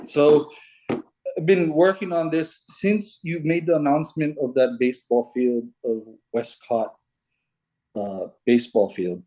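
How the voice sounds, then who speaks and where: Slow talking guy from hawaii on a zoom call, halting, kinda breaking up. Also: a little high, fairly even intonation, dark tone, poor audio. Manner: unhurried, halting, restarts often. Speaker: caller sharing puzzle theories. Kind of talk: casual video call chat. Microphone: video call. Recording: video call audio, breaking up.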